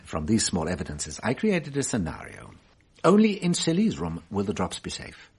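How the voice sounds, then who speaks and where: An actor playing a shrewd, self-assured French detective in a radio drama, musing deliberately with wry amusement. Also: male voice, fairly low pitch, wildly swooping pitch, breathy edge, heavily acted. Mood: musing, animated.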